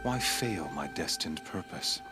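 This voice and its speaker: An actor playing a smug, fanatical cult killer in a video game, speaking in a grandiose, coldly menacing, sermon-like way. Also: male voice, a bass voice, expressive, a little slow. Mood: cold gloating. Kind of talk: theatrical video game villain monologue. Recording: game dialogue over clear background music.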